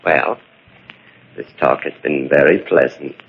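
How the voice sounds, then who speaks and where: Deranged, sinister gentleman killer, muffled old radio. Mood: brooding gloating. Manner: theatrical, drawn-out menacing politeness with swooping pitch. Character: deranged, gloating murderer lurking in a tomb, falsely polite. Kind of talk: 1940s radio mystery acting. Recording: muffled, noisy 1940s radio recording.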